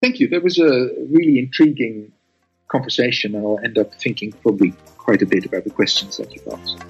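A man's voice: medium pitch, fairly quick, slightly rough, highs cut off, soft background music. A man whose first language is Dutch, a guest researcher answering interview questions thoughtfully, with many restarts and tag questions.

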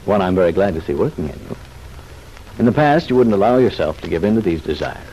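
An actor playing a kindly, patient psychiatrist with soothing, formal phrasing in an old radio soap opera. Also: male voice, deep voice, sing-song, quick pace, warm tone. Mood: reassuring. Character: kindly reassuring male psychiatrist, genial, patient, professional. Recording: faintly noisy vintage radio recording.

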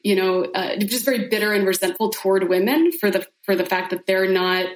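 A woman's voice: medium pitch, fairly quick. Earnest woman guest in a roomy echo. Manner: earnest, with frequent "right?" and "like". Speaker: podcast guest, sex coach. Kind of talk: casual explanatory conversation. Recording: roomy echoing sound.

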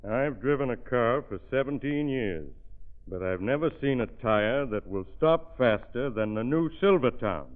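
An actor playing a satisfied motorist in an old radio commercial. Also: male voice, mid-range voice, expressive, unhurried, close to an everyday voice. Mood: earnest. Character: satisfied male motorist giving testimonial. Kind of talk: 1940s radio advertising testimonial. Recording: tinny old radio recording.

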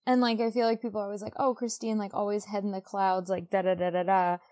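A woman's voice: medium pitch, flat delivery, quick pace, a little mellow. A woman co-hosting a casual book podcast, talking in a chatty, gushing way full of conversational asides. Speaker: podcast co-host.

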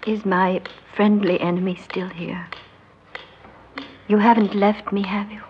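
An actress playing a wistful, lonely mother in her seventies in an old radio drama, speaking in a slow, breathy murmur. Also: mid-range voice, little pitch movement, natural voice. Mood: expectant. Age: 70s. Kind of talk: 1960s radio drama acting. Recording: dull, noisy old radio recording.

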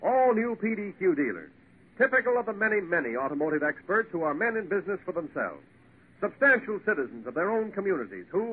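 A man working as a radio announcer, reading scripted copy in 1940s broadcast style. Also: high voice. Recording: tinny old radio broadcast, faint hiss.

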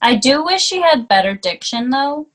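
A woman co-hosting a casual music chat, relaxed and often answering in short replies. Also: sits high, moderate pace. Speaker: co-host reviewing music.

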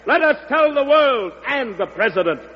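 A fiery congressman with a very high, ringing pitch. He declaims in rhetorical bursts, with long hall reverb on a muffled vintage radio recording. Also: very animated, normal speed, heavily acted. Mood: impassioned, defiant. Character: fiery male congressman orating against tyranny. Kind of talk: old-time radio oratory.

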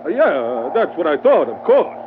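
High-voiced teasing first mate. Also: male voice, wildly swooping pitch, moderate pace, narrow, tinny audio. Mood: sly teasing. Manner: hesitant, sly needling. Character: teasing, familiar first mate called Red. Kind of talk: 1940s radio drama acting. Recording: noisy band-limited old radio recording.

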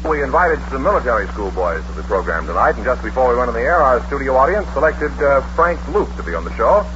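A man's voice: medium pitch, animated, fast. A man with an American accent announcing a quiz show in old-time radio style. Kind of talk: 1940s-50s radio announcing. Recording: muffled, noisy vintage radio recording.

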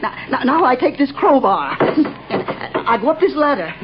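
A man's voice: very high-pitched, big pitch swings, measured pace, slight background noise, heavily acted. An actor playing a deranged male bomber who stammers, giggles and whimpers, in 1930s radio drama. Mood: giggling, scheming. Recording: dull old radio recording.

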